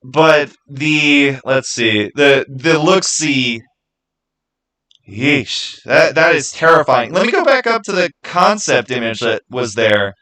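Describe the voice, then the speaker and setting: Halting man chatting on a livestream. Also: mid-range voice, animated, sharp tone. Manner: halting, repeats words and phrases. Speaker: livestream host. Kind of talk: casual livestream chat with viewers.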